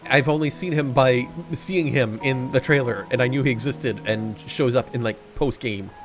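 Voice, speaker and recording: A man's voice: medium pitch, normal speed, music in the background. A man streaming a game, chatting casually and reading the dialogue aloud with joking asides. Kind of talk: casual let's-play commentary.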